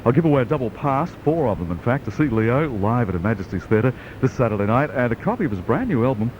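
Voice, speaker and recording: A man's voice: medium pitch, big pitch swings, quick pace, a little mellow, slight background noise. A man with an Australian accent presenting a radio show as its host. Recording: dull lo-fi AM radio aircheck.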